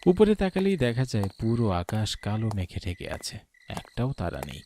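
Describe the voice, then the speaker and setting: A man delivering scripted narration as the storyteller of an animated horror tale. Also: fairly low pitch, normal speed, a little hiss or hum. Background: music.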